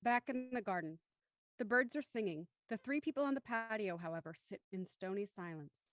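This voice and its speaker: A woman's voice: medium pitch, animated, moderate pace, a bit bright, no echo, clean studio sound, natural voice. A woman reading scripted narration for a radio murder mystery.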